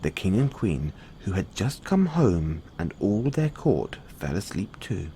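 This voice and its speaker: Deep-voiced man telling a bedtime story. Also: very animated, a little slow, dark tone, slight background noise. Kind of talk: expressive bedtime fairy-tale narration.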